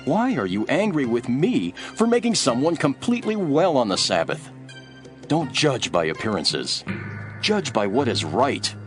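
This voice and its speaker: A male actor voices a bold religious teacher, earnest and declamatory, in an audio Bible drama. His mid-pitched voice moves in wide, lively swings, with faint music underneath. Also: measured pace. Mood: challenging.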